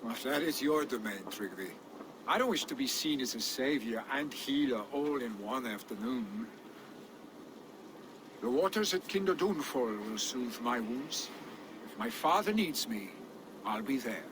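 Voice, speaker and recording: An actor playing a carefree, boastful young Viking warrior, the son of a jarl, with a teasing, jovial manner. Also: male voice, medium pitch, big pitch swings, a little slow. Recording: game cutscene with ambient noise.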